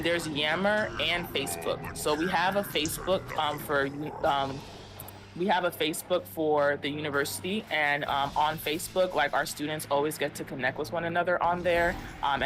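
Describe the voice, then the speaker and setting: A man's voice: fairly high pitch, fairly even intonation, normal speed. A man hosting a live esports stream, reading and answering viewer comments with lots of ums, over background music. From United States. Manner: upbeat, thanks viewers by name, frequent ums. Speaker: university esports stream host. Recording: clear music behind voice.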